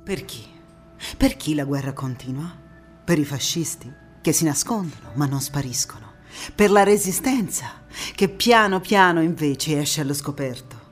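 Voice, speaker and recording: A woman's voice: deep voice, lively intonation, unhurried, faint music behind. An actress playing a passionate young anti-fascist partisan telling her war story in a dramatic stage monologue. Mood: rousing, defiant. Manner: dramatic, emphatic delivery.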